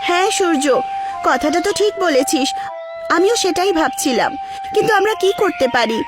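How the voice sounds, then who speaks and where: A woman's voice: extremely high pitch, lively intonation, normal speed, voice pushed up. A woman voicing an anxious, eager young village girl in a cartoon, earnest and questioning. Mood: concerned. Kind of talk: cartoon character acting. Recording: music behind the voice.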